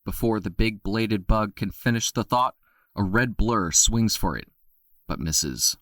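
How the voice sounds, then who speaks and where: Male narrator, low-pitched, steady storyteller, dry booth sound. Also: unhurried, no room sound. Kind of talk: scripted narration.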